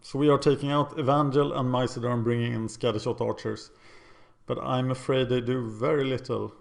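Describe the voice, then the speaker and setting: A man with a Swedish accent talks through his card game out loud, weighing each play and poking fun at his own choices. His voice sits at an ordinary middle pitch. Keyboard typing and mouse clicks can be heard behind him. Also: steady tone, normal speed, vocal fry. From Sweden. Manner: self-deprecating, thinking aloud. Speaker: game commentator. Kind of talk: casual gameplay commentary.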